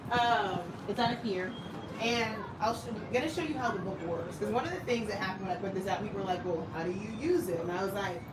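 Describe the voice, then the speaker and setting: A woman's voice: medium pitch, fast. A woman leading a casual women's study group, chatty and laughing often. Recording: roomy, distant, loud background noise.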